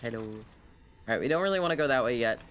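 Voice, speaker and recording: Bright-voiced male game streamer, noisy backdrop. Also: mid-range voice, lively intonation, fast talker. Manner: playful, chatty asides to viewers. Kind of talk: casual live gameplay commentary. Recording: clear background noise. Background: video game sound.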